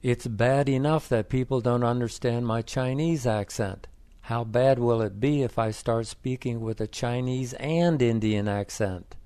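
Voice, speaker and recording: A man's voice: medium pitch, unhurried, warm tone, clean tone, no room sound, natural voice. Man doing a devoted, teasing wife in a read-aloud story, dry close voice. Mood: earnest.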